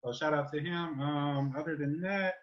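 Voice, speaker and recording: Slightly high-voiced man, slangy podcast co-host. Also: fairly even intonation, normal speed. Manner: informal, slangy, swears freely. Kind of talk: casual podcast shout-outs. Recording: dull, lo-fi audio.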